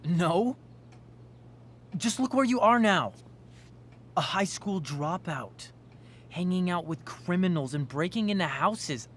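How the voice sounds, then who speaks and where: A man's voice: sits high, animated, slow pace, long pauses, slight background noise. A man playing an obsessive, self-righteous teenage boy in a video game, insistent and earnest. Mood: accusing. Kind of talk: dramatic video game voice acting.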